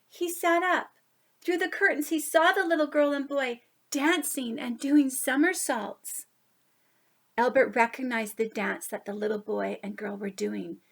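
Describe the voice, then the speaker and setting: A woman reading a picture book aloud to young children, expressive, with drawn-out emphasis on key words. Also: high-pitched. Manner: animated, drawn-out emphasis, asides to listeners. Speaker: children's story reader.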